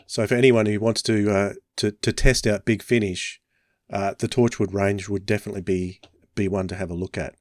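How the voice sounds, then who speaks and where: A man's voice: lowish voice, fairly even intonation, moderate pace, darkish tone, no echo. A man hosting a casual podcast chat, speaking close to the microphone. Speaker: podcast host.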